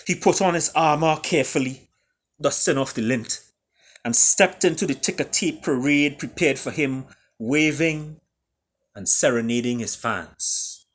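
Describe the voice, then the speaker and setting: A man reading and retelling a fable as its author, with dramatic pauses and emphatic delivery. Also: fairly high pitch. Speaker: author reading his own book.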